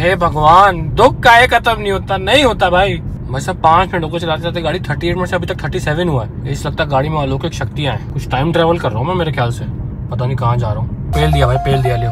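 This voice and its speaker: A man in his forties chatting and joking to a phone camera held at arm's length, at home and while driving in night traffic. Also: on the high side. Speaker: vlogger. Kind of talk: casual vlog chat. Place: car at night in city traffic.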